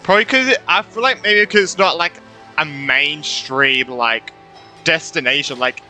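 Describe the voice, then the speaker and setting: Bright-voiced man commentating on a game. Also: fairly high pitch, moderate pace. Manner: hesitant, trailing, repeats himself. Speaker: gaming streamer. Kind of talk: casual live game commentary. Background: game music.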